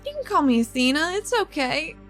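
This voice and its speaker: Casting: female player as caring, blunt game-world investigator, higher voice, informal and halting, soft music bed. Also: very animated, full character voice. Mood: gentle, reassuring.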